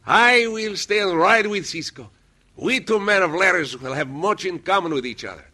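An actor playing a folksy, self-important Mexican uncle with a husky voice and broken English in a radio western. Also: male voice, high-pitched, normal speed, sharp tone. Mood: folksy, earnest. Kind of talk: 1950s radio western acting.

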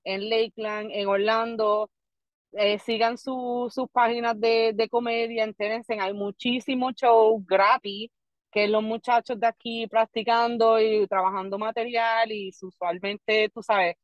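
A woman's voice: a little high, level tone, measured pace, no room sound, muffled recording. A young adult woman with a Puerto Rican accent, a comedian guest chatting casually in rambling Spanglish with lots of restarts. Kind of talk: casual podcast chat.

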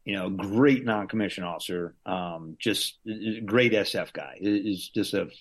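Laid-back middle-aged man, lowish voice. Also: normal speed. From Southern Louisiana. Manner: rambling, frequent restarts and laughs. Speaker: interview guest, Green Beret veteran. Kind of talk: casual conversational storytelling.

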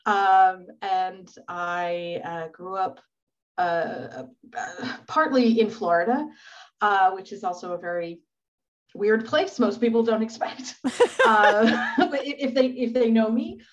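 A woman's voice: medium pitch, slow, muffled recording. A woman being interviewed on a podcast, speaking thoughtfully and searching for words with fillers and small laughs. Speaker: podcast guest. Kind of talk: conversational interview.

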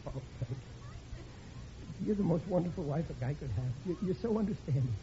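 A bumbling, excitable American husband in a vintage radio comedy, pitched high for a man. He stammers and pleads earnestly, on a dull old recording with some hiss. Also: fairly quick. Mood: relieved, contrite. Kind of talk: 1940s radio sitcom acting.

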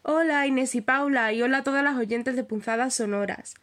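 Woman guest, bright, higher pitch, steady friendly telling. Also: fairly quick. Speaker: translator, guest sending a voice note. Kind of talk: recorded voice message.

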